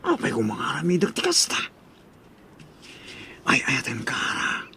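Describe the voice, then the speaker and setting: A man's voice: medium pitch, huge pitch swings, measured pace, vocal fry, pushed and bright, full character voice. A man playing a sweet-talking, reassuring young boyfriend in a radio drama, with an affectionate, coaxing delivery. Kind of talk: Ilocano radio drama acting. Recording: faint background noise.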